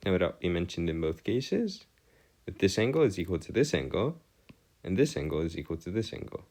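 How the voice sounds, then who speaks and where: A man tutoring in an informal maths lesson, with formal wording and a halting, self-correcting delivery. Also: low-pitched, big pitch swings, darkish tone. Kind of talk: informal maths lesson over a digital whiteboard.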